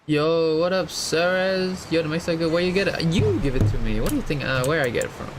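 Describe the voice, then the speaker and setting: A man's voice: fairly high pitch, lively intonation, fairly quick. A man giving casual, chatty, slangy live commentary as a game streamer, in a laid-back way. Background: game music, typing.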